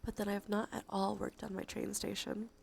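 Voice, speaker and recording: A soft-spoken woman chatting on a cozy ASMR livestream, with a creaky voice that often slips into a breathy whisper. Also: medium pitch, flat delivery, brisk, slight background noise. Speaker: streamer.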